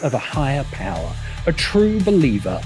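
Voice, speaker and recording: A man's voice: medium pitch, wildly swooping pitch, moderate pace, darkish tone. A man delivering scripted polemical commentary as a video essay host, with a dramatic, scornful, emphatic manner. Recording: music bed behind voice. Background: background music.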